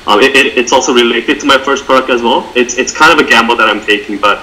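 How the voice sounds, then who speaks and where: A young man in his twenties, speaking English as a second language, answering interview questions over a webcam from home in an earnest, halting way with frequent restarts. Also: mid-range voice, bright, forceful tone, slight background noise, low-quality recording. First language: not English. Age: 20s. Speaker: interview guest, online seller. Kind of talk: casual video-call interview. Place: small room at home. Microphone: video call webcam.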